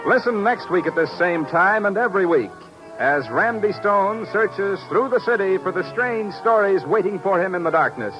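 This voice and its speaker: Male announcer, high voice, formal old-time radio delivery over music, dull vintage sound. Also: animated, normal speed. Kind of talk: formal 1950s radio announcing, scripted credits.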